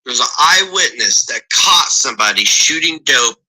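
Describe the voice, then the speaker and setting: A man's voice: mid-range voice, animated, moderate pace, pushed and bright, rough recording. A man in a played clip, ranting heatedly and profanely, stumbling over his words as he complains. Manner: agitated, stumbling and cursing.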